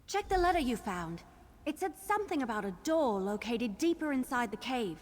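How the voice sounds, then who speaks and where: Casting: friendly, wary young female adventurer for a fantasy game, animated delivery, slightly high voice. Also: normal speed. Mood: helpful, puzzled. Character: young woman adventurer archer, cautious but friendly companion. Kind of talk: video game voice acting.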